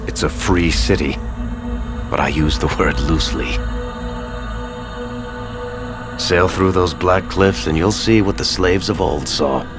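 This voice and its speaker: Deep-voiced wry roguish storyteller. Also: male voice. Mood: wry, ominous. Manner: wry, knowing, measured with dramatic pauses. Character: roguish dwarf storyteller, wry companion of a hero. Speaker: narrator. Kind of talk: dramatic scripted storytelling narration. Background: music.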